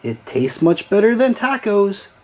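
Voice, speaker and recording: Guy doing a chatty friendly doggy for a kids book, lively pitch, unhurried. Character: friendly, excitable long dog in a children's storybook.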